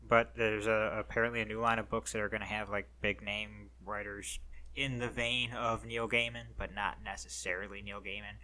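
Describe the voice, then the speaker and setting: A man co-hosting a casual podcast, relaxed and hesitant, reading out news items with fillers. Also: lowish voice, moderate pace. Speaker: podcast co-host.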